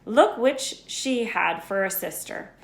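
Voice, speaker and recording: A gruff, protective storybook man, acted out by a woman reader, speaks bluntly and with folksy emphasis. The pitch is pushed up and loud for the reader, and the room rings with echo. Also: lively intonation, slow. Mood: indignant. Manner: emphatic, folksy, dropped words. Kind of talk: children's storybook character voice. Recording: echoey room.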